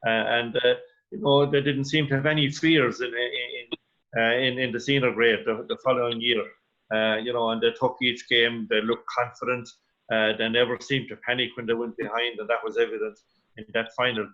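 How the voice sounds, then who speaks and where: An Irish man giving his view as a sports pundit, conversational and halting, with repeated phrases. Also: mid-range voice, flat delivery, pushed and bright. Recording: dull lo-fi radio link.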